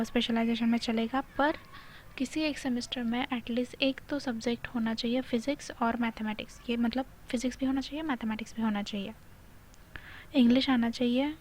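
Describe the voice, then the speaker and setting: A woman's voice: a little high, darkish tone, low-quality recording. An Indian woman giving an informal explainer, reading out and explaining details while mixing in English phrases. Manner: mixes Hindi with English phrases. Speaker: video host explaining a job notification. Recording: clear background noise.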